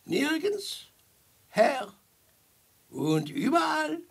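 Super high creaky beggar, ragged and ominous, slow pleading, old radio play. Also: male voice, sing-song, fairly bright tone, exaggerated voice. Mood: urgent, ominous. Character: ragged crippled beggar, pious, ominous, insistent. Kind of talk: 1950s German radio play acting. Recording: 1950s mono radio recording with music.

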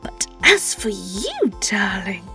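A woman playing a sly, vengeful vampire in an audio roleplay, with a British accent, a breathy, often whispered voice and a taunting, theatrical delivery. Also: mid-range voice, wildly swooping pitch, takes it slowly, bright, forceful tone, faint music behind, full character voice. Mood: gloating, menacing. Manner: taunting, theatrical, lingering on words. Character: vengeful young female vampire, sly, gloating and predatory. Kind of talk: dramatic audio roleplay monologue.

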